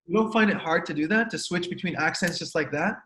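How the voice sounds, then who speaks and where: A man's voice: a little high, quick pace, bright, forceful tone, echoey room, a little hiss or hum. A man hosting an interview over a video call, asking questions hesitantly with many fillers. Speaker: interview host. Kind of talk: casual interview chat.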